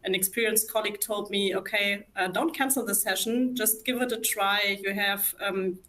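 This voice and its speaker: A woman's voice: mid-range voice, steady tone, moderate pace, fairly bright tone, a little hiss or hum. A woman speaking English with a German accent, talking as a guest in a live conversation, thoughtful and hesitant with frequent fillers. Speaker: guest, former psychiatry worker.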